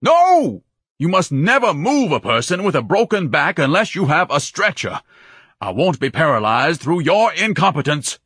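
A man's voice: on the high side, big pitch swings, moderate pace, fairly bright tone, breathy edge, dry recording, studio quality, voice pushed up, exaggerated voice. A man voicing an obese, pompous, indignant man who lectures and complains melodramatically in an audiobook. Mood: outraged bellowing.

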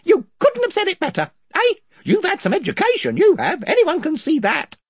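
A man's voice: very high-pitched, wildly swooping pitch, moderate pace, dry recording, clean studio sound, voice pushed up, exaggerated voice. A man reading an audiobook, voicing a crowd of eager, cheerful creatures who agree with everything. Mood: fawning. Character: chorus of fawning yes-man creatures.

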